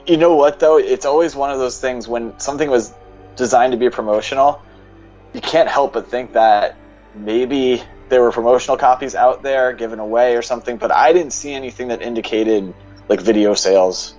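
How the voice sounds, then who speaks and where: A man's voice: medium pitch, moderate pace, a little mellow. A man talking casually as a guest on a podcast. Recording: dull lo-fi mic, faint music behind.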